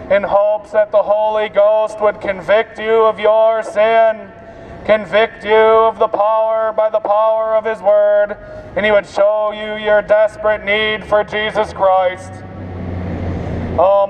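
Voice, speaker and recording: High-pitched, monotone man street preaching through bullhorn. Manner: solemn, declamatory, repetitive. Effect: amplified through a bullhorn. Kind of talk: open-air evangelistic sermon. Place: outdoors on a busy city street. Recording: dull bullhorn sound with street traffic noise. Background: street and vehicle noise.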